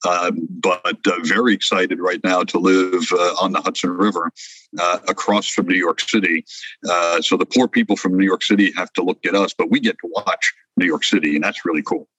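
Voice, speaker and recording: Older man from France, very deep voice, dry close sound, hesitant, reflective. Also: a bit bright, breathy edge, dry recording, poor audio. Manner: reflective, many hesitations and restarts. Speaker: guest. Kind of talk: conversational interview.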